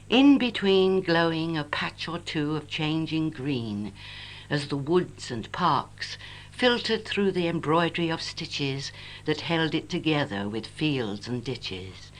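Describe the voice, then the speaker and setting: English lady reading a poem, really slow n wistful, voice rises and falls loads, old cassette. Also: low voice. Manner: wistful, lyrical, measured delivery. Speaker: poet reading own work. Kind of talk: expressive poetry recitation. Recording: noisy 1980s home cassette, humming. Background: tape noise and hum.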